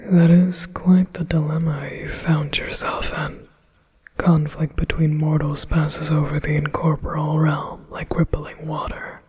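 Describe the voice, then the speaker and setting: A man's voice: fairly high pitch, monotone, a little slow, breathy edge. Man whispering as a silky possessive demon. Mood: coaxing. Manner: soft intimate whisper, silky and persuasive. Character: flattering possessive male demon, calm and coaxing. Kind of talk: whispered ASMR roleplay.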